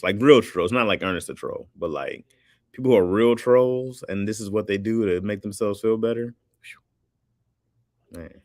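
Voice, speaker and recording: A man's voice: sits low, creaky, no room sound, clean studio sound. A man hosting a livestream, chatting casually with his viewers in a rambling, laid-back way and laughing often. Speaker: livestream host reading chat. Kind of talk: casual chat.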